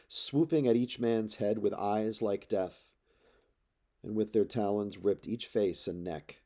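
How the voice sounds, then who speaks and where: A male narrator reads an epic poem aloud. His timbre is dark and mellow, and he phrases each line in a measured, dramatic way. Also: fairly low pitch. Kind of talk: expressive read-aloud of epic poetry.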